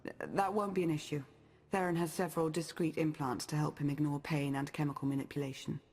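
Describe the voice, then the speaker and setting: Low-voiced, commanding woman strategist. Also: flat delivery, darkish tone, slightly rough. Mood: composed, resolute. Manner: measured, authoritative, precise. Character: cautious, commanding female strategist, brisk and composed. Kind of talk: video game cutscene acting.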